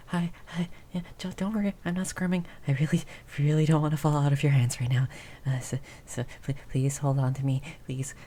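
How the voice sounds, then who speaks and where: A woman voicing a tiny timid mouse person in an improvised ASMR roleplay, soft and breathy, stammering nervously and often slipping into a whisper. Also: deep voice. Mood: flustered, anxious. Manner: nervous stammering, halting and repeating words. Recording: faint background noise with rain ambience. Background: rain sounds.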